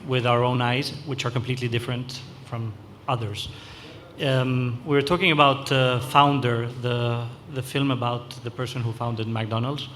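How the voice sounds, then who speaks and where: A man's voice: medium pitch, fairly bright tone, slight background noise. A man hosting a fireside chat, speaking hesitantly with many fillers. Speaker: host, moderator.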